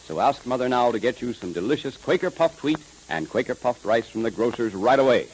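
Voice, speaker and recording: A man's voice: medium pitch, lively intonation, fairly quick. A man with an American accent announcing in emphatic, polished 1930s radio style, selling as he goes. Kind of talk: 1930s radio announcing, scripted sales pitch. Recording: hissy 1930s radio recording.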